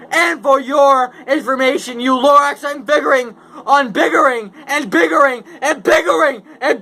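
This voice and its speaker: Reader doing a shrill ranting factory boss. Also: heavily acted, low-quality recording. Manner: high-pitched shouting, ranting. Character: greedy factory owner, furious and defiant. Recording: clear background noise.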